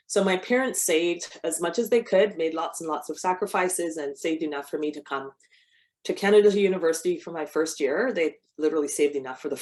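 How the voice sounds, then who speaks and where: A middle-aged woman from Barbados, a cancer biologist speaking to an audience in a conversational way, with restarts. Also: low voice. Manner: conversational, with frequent restarts and fillers. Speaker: cancer biologist giving a talk. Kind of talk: personal talk to an audience.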